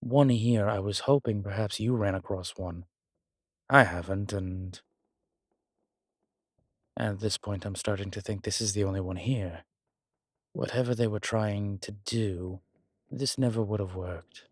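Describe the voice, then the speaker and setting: A man performs a world-weary male demon in an intimate horror roleplay. He talks very slowly in a lowish voice, picking through his thoughts with hesitant, dry wit. The sound is close and dry, as if speaking to one companion. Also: fairly even intonation, dry recording. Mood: pensive, wary. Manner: hesitant, measured, musing delivery with dry humour. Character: wry, world-weary male demon investigating a haunted house.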